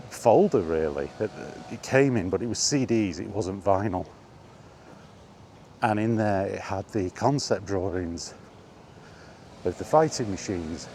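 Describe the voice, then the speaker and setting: Slow, deep-voiced man musing. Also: a little mellow, a little hiss or hum. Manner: halting, enthusiastic, many ums and restarts. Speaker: vlogger. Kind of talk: casual rambling monologue. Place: outdoors, walking.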